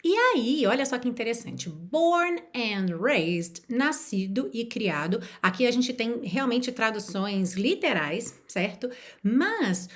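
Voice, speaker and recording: A woman teaching an online lesson in a fairly high, very animated voice. She speaks straight to the viewer in an encouraging way. She slows down to model each example phrase and then repeats it. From Rio de Janeiro, Brazil. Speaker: language teacher.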